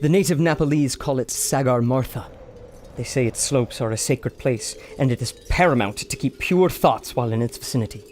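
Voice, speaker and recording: An actor playing a sensible, fussing doctor, earnest and exasperated, in an old-time radio adventure. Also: male voice, mid-range voice, moderate pace, a little mellow, a little hiss or hum, close to an everyday voice.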